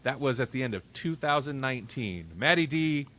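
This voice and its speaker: A man's voice: mid-range voice, expressive, a little fast, pushed and bright, a little hiss or hum. A man hosting a casual podcast, talking in a rambling, wry way and often interrupting himself. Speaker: podcast host. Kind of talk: casual banter on a live-streamed podcast.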